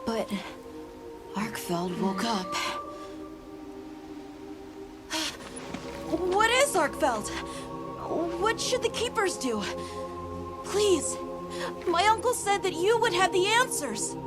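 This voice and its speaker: A woman playing an earnest, pleading young keeper in a video game, halting yet determined. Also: extremely high pitch, sing-song, full character voice. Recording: music behind the voice. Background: music.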